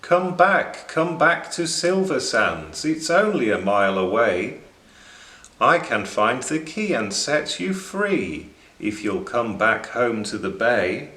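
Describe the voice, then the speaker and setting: A man's voice: mid-range voice, sing-song, takes it slowly, pushed and bright, reverberant, exaggerated voice. A man voicing a loyal seagull in a children's storybook, calling out insistent, pleading warnings. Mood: urgent coaxing. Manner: insistent, repeating calls.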